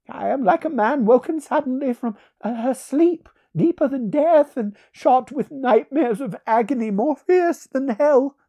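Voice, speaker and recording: Woman voicing an eerie high-pitched aristocratic sorcerer. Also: mellow, exaggerated voice. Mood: grave, courtly. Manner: archaic, grandiloquent, theatrical. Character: aristocratic ancient male sorcerer, long imprisoned, wry and eerie. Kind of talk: dramatised fantasy audiobook.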